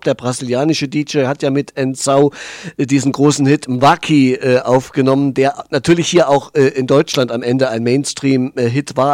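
Casting: 50s male radio DJ, slightly high voice, dry studio sound, measured with fillers. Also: darkish tone, no echo. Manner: measured, fills with äh. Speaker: radio host and DJ. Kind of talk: radio interview.

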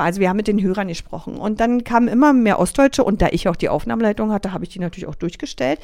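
A middle-aged woman from East Berlin with an East Berlin accent, telling stories as a radio guest, lively, with many fillers and restarts. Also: a little high, creaky, dry recording, studio quality. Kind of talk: casual radio interview.

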